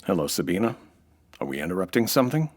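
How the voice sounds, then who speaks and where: An actor playing a wry, hardboiled American nightclub owner, dry and wisecracking, in the manner of old radio noir. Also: male voice, sits low, sing-song, quick pace, slight background noise. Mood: firm, exasperated. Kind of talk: old-time radio noir narration and dialogue.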